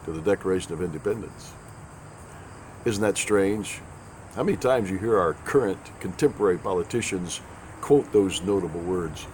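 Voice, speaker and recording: Male host, very deep, raspy with a chest cold, folksy sarcastic rambler, faint noise behind. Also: a little mellow. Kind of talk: casual spoken commentary.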